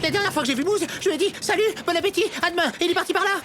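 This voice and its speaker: Very high, brash little cartoon critter. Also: female voice, rapid-fire, fairly bright tone, a little hiss or hum. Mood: earnest. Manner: exclamatory, brash, bossy. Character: excitable, bossy young male animal pal with quirky exclamations. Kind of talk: French cartoon dub acting.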